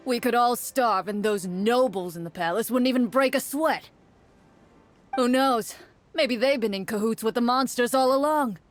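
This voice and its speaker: A woman's voice: on the high side, measured pace, a little hiss or hum, lightly acted. Woman putting on a brusque, greedy male merchant. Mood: grim, cynical. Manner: brusque, scoffing, dismissive. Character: gruff, greedy, disdainful street merchant man. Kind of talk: scripted game roleplay acting.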